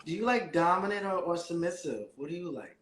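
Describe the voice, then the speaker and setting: A man's voice: high-pitched, animated, a little fast, roomy sound. A man hosting a casual interview, effusive and enthusiastic with his guest, giggling often. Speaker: host.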